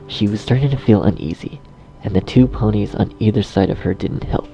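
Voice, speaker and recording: Deep, creaky, dramatic male story narrator. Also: brisk, mellow, lo-fi sound. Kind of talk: dramatic scripted narration of horror fan fiction. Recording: faint music behind the voice.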